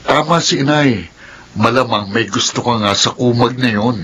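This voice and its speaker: A man's voice: medium pitch, normal speed, fairly bright tone, slight background noise, close to an everyday voice. An actor playing a young Filipino man, a playfully smug younger brother who probes in a mock-formal way in a radio drama. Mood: teasing, smug.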